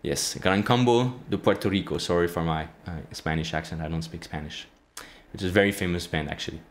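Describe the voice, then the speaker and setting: A man in his thirties walking through code in a casual tutorial, talking in halting phrases with many fillers and restarts. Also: sits low, slight background noise. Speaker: programming tutorial host. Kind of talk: casual screen-share coding walkthrough. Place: small indoor room, plain wall.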